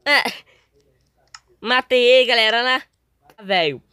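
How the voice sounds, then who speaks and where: A young man with a Brazilian accent and a slightly hoarse voice, doing casual, slangy gameplay commentary as a video host. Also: very high voice, slow pace, sharp tone, no room sound, soft background music. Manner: informal, slangy.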